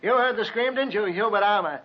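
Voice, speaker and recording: An actor playing a stern, relentless detective in an old radio drama, with a deliberate, accusing delivery. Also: male voice, sits high, a little hiss or hum, lightly acted. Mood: sternly accusing. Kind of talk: 1940s radio drama acting. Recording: muffled old radio recording.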